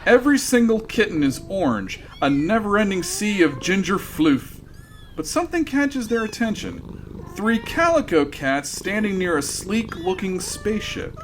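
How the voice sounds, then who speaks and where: A man's voice: high voice, sing-song, normal speed, a little hiss or hum. A man narrating a sci-fi radio comedy in a wry, dry scripted delivery.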